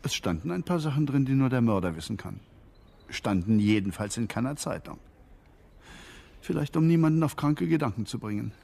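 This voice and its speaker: A smug, worldly newspaperman in a radio play. His voice is husky and full of creak, with lively swings of pitch as he needles and bosses with sardonic relish. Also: a little fast. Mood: smug, mocking. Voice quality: gravelly, husky. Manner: sardonic, theatrical. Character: cynical, smug, worldly newspaperman, bossy and mocking.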